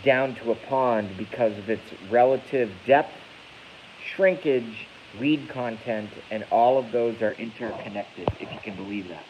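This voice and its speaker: A man's voice: medium pitch, unhurried. A man in his thirties from Massachusetts, ranting casually on a live stream in a rambling, profane way that often corrects itself. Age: 30s. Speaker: YouTube streamer. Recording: dull lo-fi with faint hiss.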